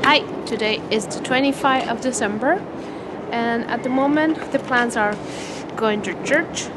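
A young woman filming herself at arm's length on an outdoor train platform, chatting slowly to the camera. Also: fairly high pitch, over loud music. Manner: slow and chatty. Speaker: travel vlogger. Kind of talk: handheld vlog talk to the camera. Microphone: camera held at arm's length. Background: loud station noise.

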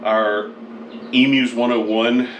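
A man from northern Florida, his voice rough and congested, explaining emu egg incubation in a folksy, talkative way in a small hatchery room. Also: mid-range voice, little pitch movement, slow, lo-fi sound. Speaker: emu farmer. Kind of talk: casual how-to farm video. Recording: echoey small room, air conditioner hum.

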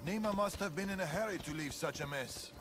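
A man's voice: high-pitched, a little fast, lightly acted. An actor voicing a stern, seasoned older father and warrior mentor in a video game, curt and commanding. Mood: guarded, intent. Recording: game music behind the voice. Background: loud music.

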